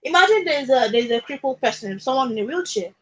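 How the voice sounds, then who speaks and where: A woman with a Nigerian accent and a high-pitched, husky voice talks as a guest on a radio talk show. She argues her case conversationally and emphatically, repeating key phrases and checking that the listener follows. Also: animated, fast. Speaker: radio guest discussing social issues. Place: radio studio.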